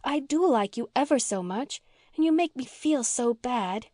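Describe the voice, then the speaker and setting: A woman doing a gentle, eager young girl. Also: mid-range voice, brisk, dry recording, studio quality, lightly acted. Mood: pleading. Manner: soft, eager, kindly. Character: gentle earnest minister's daughter, young girl.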